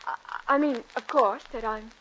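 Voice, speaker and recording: A woman's voice: fairly high pitch, normal speed, fairly bright tone, natural voice. An actress playing a prim, earnest, starstruck young intellectual woman who speaks formally in a 1950s radio drama. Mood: prim, flustered eagerness. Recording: muffled 1950s radio recording, faint noise.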